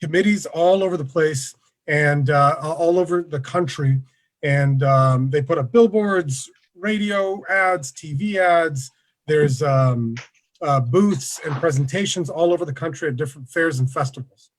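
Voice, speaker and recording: A man presenting an online workshop over a video call, relaxed and conversational, with many fillers. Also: fairly high pitch, measured pace. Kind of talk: casual online lecture.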